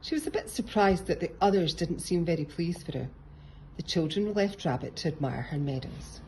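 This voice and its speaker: A woman's voice: lowish voice, moderate pace, mellow, slight background noise, rough recording. A woman narrating a children's picture book as a storybook reader.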